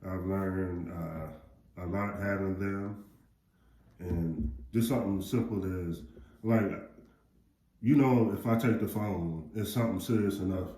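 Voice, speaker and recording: A middle-aged American man with a deep voice, chatting casually in a rambling, plain-spoken way as a parent giving advice. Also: measured pace, dark tone. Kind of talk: casual chat. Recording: roomy echo, faint background noise.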